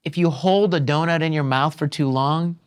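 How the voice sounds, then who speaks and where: A man's voice: a little high, normal speed, clean tone. A male voice actor telling behind-the-scenes stories in an interview, acting out the lines he quotes. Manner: lively storyteller acting out quotes. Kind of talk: interview anecdote.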